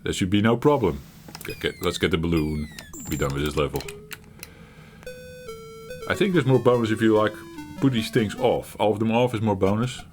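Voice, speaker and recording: A middle-aged man hosting his retro gaming channel, talking while he plays in a halting, reflective way, searching for words and laughing at himself. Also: lowish voice, lively intonation, soft background music. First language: Dutch. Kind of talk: reflective gameplay commentary.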